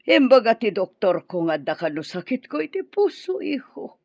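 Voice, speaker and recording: A woman's voice: on the high side, very animated, moderate pace, a little mellow, dry recording, dull audio. A woman with a Filipino accent playing an older, ailing, meddling mother in an Ilocano radio drama. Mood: plaintive.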